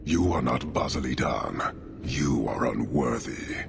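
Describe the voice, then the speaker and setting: A man's voice: extremely low pitch, a little slow, exaggerated voice. A man playing a towering, stern horned warrior commander in a video game, speaking in solemn, formal declarations. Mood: grave challenge. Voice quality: gravelly. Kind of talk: video game cutscene acting. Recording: loud game music behind.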